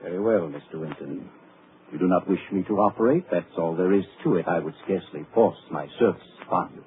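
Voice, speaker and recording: A man plays a sinister mad doctor with a silky, mock-polite manner and a gloating edge under every courtesy. His voice sits slightly low. It is heard through a tinny, hissy 1940s radio broadcast. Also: a little fast, natural voice. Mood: gloating menace. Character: sinister mad male doctor, smooth and menacing. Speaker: villain.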